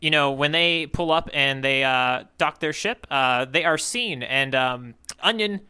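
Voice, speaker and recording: A man's voice: medium pitch, unhurried, pushed and bright, clean tone. A man co-hosting a podcast, casually recapping a story in a rambling, self-correcting, hesitant way. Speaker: podcast co-host recapping manga chapters.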